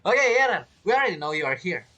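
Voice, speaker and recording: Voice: medium pitch, very animated, very fast, pushed and bright. A game streamer giving casual, rambling and playful commentary while playing. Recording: faint game music behind the voice.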